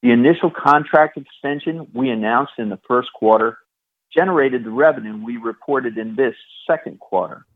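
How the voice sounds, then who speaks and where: A man speaking as a chief executive, reading prepared corporate remarks in a formal, measured way over a phone. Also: mid-range voice. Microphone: phone call. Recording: thin, narrow phone line.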